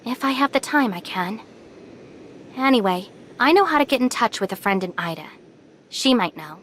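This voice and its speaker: Earnest, higher-voiced young heroine. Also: female voice, expressive, a bit bright, a little hiss or hum. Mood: sincere, unsure. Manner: measured, sincere. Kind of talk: anime game voice acting.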